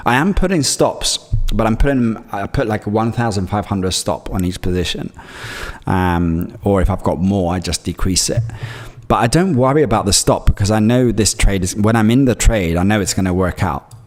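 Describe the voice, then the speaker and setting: A man's voice: on the low side, lively intonation, normal speed, long reverb tail. A man who grew up in Greece, with Greek as his first language, streaming live and talking through his trades in a rambling way, reading chat messages as he goes. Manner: rambling, reads chat messages aloud, many fillers and restarts. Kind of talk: live-stream trading commentary.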